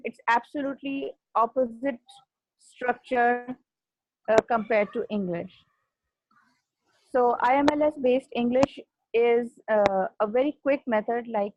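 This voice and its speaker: A woman from Pakistan with a Pakistani accent, an Urdu speaker, talking casually as a guest language trainer and filling her pauses with frequent "uh". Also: on the high side, no echo. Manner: unhurried, fills pauses with frequent "uh". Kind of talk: casual conversational talk. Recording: dull muffled audio with faint hiss.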